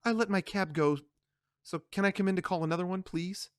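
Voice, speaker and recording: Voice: medium pitch, brisk, clear, resonant tone, dry recording, studio quality, pitched above the performer's own voice, lightly acted. A storyteller voicing an anxious young woman who pleads politely but insistently. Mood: pleading.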